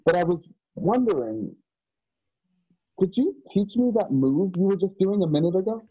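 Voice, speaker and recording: Man acting earnest, husky-voiced anxious young man, Simon. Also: sits high, very animated, moderate pace, no echo, exaggerated voice. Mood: polite, eager. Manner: hesitant, stammering, repeating words. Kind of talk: online radio drama acting. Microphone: video call, home setup. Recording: dull lo-fi video call.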